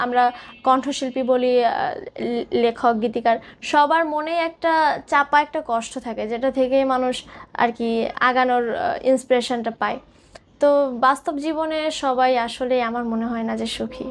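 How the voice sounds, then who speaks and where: A woman's voice: sits high, fairly even intonation, a little slow. A woman, a singer giving thoughtful, somewhat hesitant answers as an interview guest.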